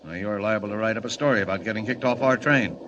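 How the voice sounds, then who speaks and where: An actor playing a gruff, officious train conductor in a 1940s radio serial, brisk and stern. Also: male voice, fairly bright tone, lightly acted. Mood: grudging. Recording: muffled, very noisy old radio, train rumble.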